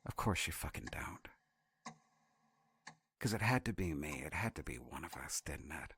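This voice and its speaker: A man playing a sardonic, foul-mouthed, fiercely protective wanderer from another reality in an audio drama, halting and muttering. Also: rumbling low, animated, a bit bright, slightly rough, dry recording, full character voice. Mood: bitter, unsteady.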